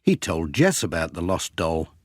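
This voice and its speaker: A man narrates a children's story in a gentle, sing-song way. His slightly husky voice moves slowly and rises and falls a lot. The recording is dry and close. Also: low-pitched, sing-song, dry recording. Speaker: audiobook narrator.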